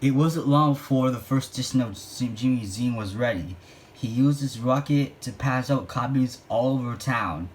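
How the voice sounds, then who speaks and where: A man narrating a storybook read-along in a halting way, often stumbling over words. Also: mid-range voice, a little hiss or hum.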